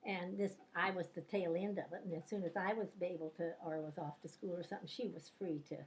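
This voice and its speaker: A woman's voice: fairly low pitch, fairly even intonation, quick pace, slight background noise. An older woman, a retired teacher, reminiscing about her life in a casual interview, telling rambling stories full of fillers and restarts. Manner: rambling anecdotal storyteller, many fillers and restarts.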